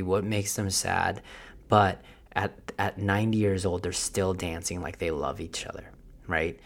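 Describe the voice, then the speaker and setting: Male vlogger, low gravelly voice, earnest motivational delivery with frequent restarts. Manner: earnest, restarts often, slows down to stress key ideas. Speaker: fitness vlogger. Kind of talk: motivational vlog talk.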